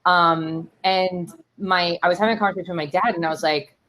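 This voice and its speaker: A woman in her thirties from Miami whose first language is Spanish, telling her story in a casual interview with lots of fillers and animated asides. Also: fairly low pitch, brisk. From Miami, Florida. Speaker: startup CEO and keynote guest. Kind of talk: conversational interview.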